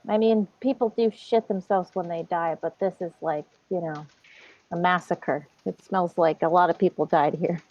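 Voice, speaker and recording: A woman's voice: lowish voice, fairly even intonation, moderate pace, dry recording. A woman playing a tabletop game, making crude, exaggerated jokes. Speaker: player. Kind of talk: casual tabletop play. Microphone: home mic on video call. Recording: dull dry lo-fi audio.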